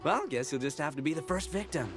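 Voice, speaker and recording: A man's voice: fairly high pitch, lively intonation, fast talker, faint music behind, close to an everyday voice. An actor playing a cocky, playful young man in a video game, with a teasing, theatrical delivery. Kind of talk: animated video game voice acting.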